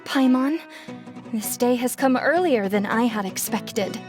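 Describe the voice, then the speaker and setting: An earnest young lady writer who duels in an animated game. Her voice is high, formal and theatrical, full of melodrama, with music clearly behind it. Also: measured pace. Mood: solemn.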